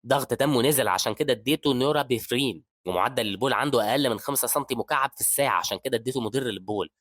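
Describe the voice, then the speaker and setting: A man with an Egyptian accent voicing a calm, confident young male doctor who speaks in a steady, matter-of-fact way. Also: mid-range voice, very fast, dry recording, clean studio sound, lightly acted. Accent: Egyptian Arabic.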